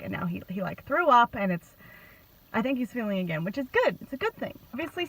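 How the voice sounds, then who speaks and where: A woman giving a casual book reaction in gushing, slangy fan talk with sarcastic asides. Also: fairly high pitch. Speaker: book reviewer vlogger. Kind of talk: casual reaction commentary, retelling a novel. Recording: noisy, glitchy amateur phone audio.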